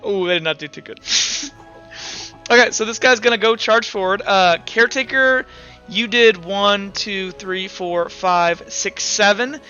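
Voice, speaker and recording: High-pitched man, sharp bright voice, game master, casual improvised talk, muffled audio, music behind. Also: unhurried. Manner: casual, thinks aloud, counts out dice results. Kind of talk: running a tabletop roleplaying game, improvised rules talk and narration.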